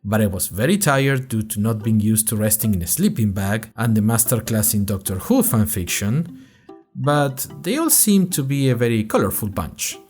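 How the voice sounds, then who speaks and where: A man's voice: lowish voice, lively intonation, moderate pace, a little mellow, soft background music, close to an everyday voice. A man playing an anxious young college freshman who narrates his podcast in a wry, rambling, self-conscious monologue. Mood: wry, musing. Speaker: narrator and host of a fiction podcast. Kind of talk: scripted first-person audio drama monologue.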